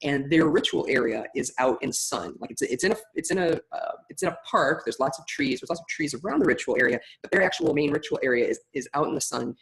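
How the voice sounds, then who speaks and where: A woman with a big, loud voice teaching in a webinar, talking through ideas in a chatty way with frequent restarts. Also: rumbling low, animated, fast talker, vocal fry, hardly any pauses, rough recording. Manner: chatty, many restarts and fillers. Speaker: ritual facilitation teacher. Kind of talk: webinar teaching, answering a question.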